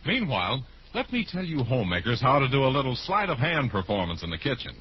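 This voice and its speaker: A male announcer delivers a 1940s radio ad in a mid-range voice with very lively intonation. The vintage recording is muffled and faintly hissy. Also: mid-range voice, fast.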